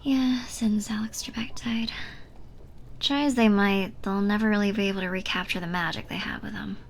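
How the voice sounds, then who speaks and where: A woman playing a flirty, teasing bartender in a soft, breathy ASMR roleplay, speaking close to the listener and often dropping to a near-whisper. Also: medium pitch, fairly even intonation, a little hiss or hum, lightly acted. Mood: playful. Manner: playful, giggly, unhurried flirting.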